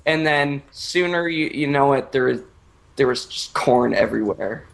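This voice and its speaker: A man improvising a joke story in a halting, stammering way while he draws, swearing often. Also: mid-range voice, vocal fry. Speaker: storyteller, host.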